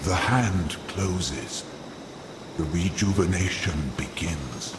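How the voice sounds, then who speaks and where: Cavernous, slow, grave mystic voice. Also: male voice, low voice, animated, full character voice. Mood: solemn, portentous. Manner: slow, portentous, incantatory. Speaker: voice actor in a video game. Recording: long reverb, noisy ambient backdrop.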